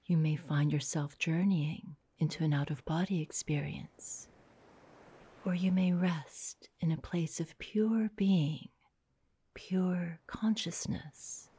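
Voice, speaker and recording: Female meditation guide: low, very breathy, soothing, slow delivery with long pauses. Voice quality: breathy, soft, half-whispered. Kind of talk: guided meditation, soft hypnotic narration.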